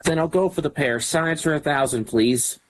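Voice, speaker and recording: A man's voice: fairly high pitch, normal speed, dry recording. A man competing as a contestant on a live game show, eager and playful. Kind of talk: casual game play.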